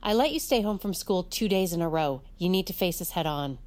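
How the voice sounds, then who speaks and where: An actress playing a caring but weary mother in an audio drama, gently firm. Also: fairly low pitch, lively intonation, brisk, lightly acted. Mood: firm, coaxing. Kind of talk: immersive audio drama acting.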